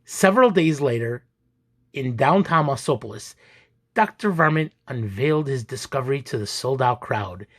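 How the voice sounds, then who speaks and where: A man reading a children's picture book aloud as an expressive, deliberate, theatrical storyteller. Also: medium pitch. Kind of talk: expressive dramatic read-aloud narration.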